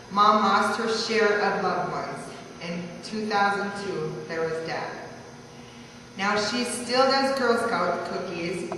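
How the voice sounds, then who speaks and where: A woman's voice: mid-range voice, fairly bright tone. Echoey woman reading a verse tribute. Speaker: relative reading a birthday tribute. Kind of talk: reading a rhyming life story aloud. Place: large echoey room at a family party. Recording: echoey hall from far off, noisy.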